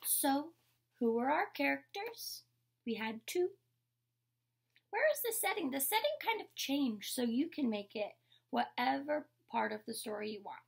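A young adult woman reading a picture book aloud like a teacher, in a measured, instructional way. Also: high voice, lively intonation, vocal fry, slightly rough. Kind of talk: picture-book read-aloud with short explanations. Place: indoor room with painted mural wall.

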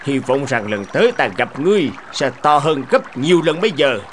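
A man voicing a grumpy, gullible old fisherman in an animated fable, with exaggerated grumbling. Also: a little high, moderate pace, noisy background. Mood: pondering, persuaded.

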